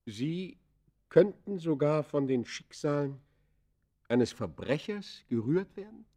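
An actor playing a penitent, brooding criminal in a classic radio play, speaking formal, solemn with halting stage delivery. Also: male voice, a little high, animated, mellow, exaggerated voice. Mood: earnest, searching. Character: penitent male criminal confessing his life, earnest and brooding. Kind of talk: classic German radio play acting, literary and declaimed.

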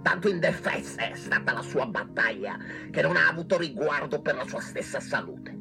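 Pompous, exasperated small-town doctor. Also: male voice, on the high side, expressive, moderate pace, gravelly, over music. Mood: solemn, pompous. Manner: theatrical, grumbling, oratorical. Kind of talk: Italian radio play acting.

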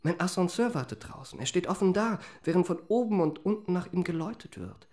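An actor playing a courteous, quietly cocky twenty-year-old lift boy in a radio play, smooth and elaborately polite. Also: high-pitched, lively intonation, fairly quick. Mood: servile charm. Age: young adult. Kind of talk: German radio play acting.

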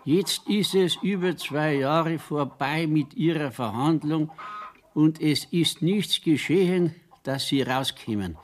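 An actor playing a simple, earnest Bavarian countryman in a radio play, speaking haltingly as he composes a letter, with a Bavarian accent. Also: fairly high pitch, slight background noise. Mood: earnest, indignant. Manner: slow, halting dictation to himself as he writes. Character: simple, devoted rural Bavarian man laboriously writing a letter. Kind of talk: radio play acting in dialect.

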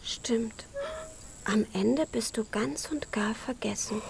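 A woman playing a little chick in a children's radio play, a caring friend who talks gently but bluntly. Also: on the high side, takes it slowly, gravelly, slight background noise. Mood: gently regretful.

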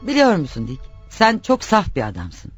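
Radio play wife: reproachful, insistent, sarcastic, very animated delivery, faint music underneath. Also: female voice, on the low side, moderate pace, breathy edge, full character voice. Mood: insistent, persuading. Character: nagging, reproachful wife pushing her jobless husband. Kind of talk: radio theatre acting.

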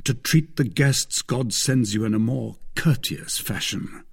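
A man voicing a spirited, tender-hearted gentleman soldier in a storybook reading, earnest and frank. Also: mid-range voice, measured pace, gravelly, husky, pitched above the performer's own voice. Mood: scolding.

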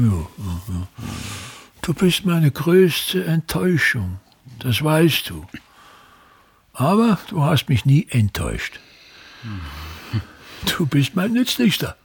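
Raspy, pompous old theatre patriarch. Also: male voice, medium pitch, darkish tone, exaggerated voice. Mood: disappointed, sentimental. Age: older. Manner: pompous, theatrical, complaining, repeating his phrases. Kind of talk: stage-like radio play acting.

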